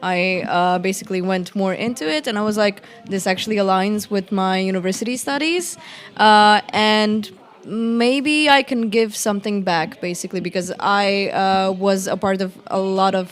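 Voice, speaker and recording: A woman's voice: mid-range voice, normal speed, soft background music. A young woman from Croatia, speaking relaxedly in a podcast discussion and often saying "basically". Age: young adult. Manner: relaxed, says "basically" a lot. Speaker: student and youth worker.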